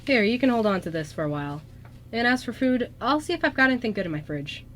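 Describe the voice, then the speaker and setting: A woman playing a gentle, earnest young male ghost in an amateur radio drama, speaking sincerely and haltingly to a friend. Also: a little high, very animated, slight background noise. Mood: thoughtful, rueful.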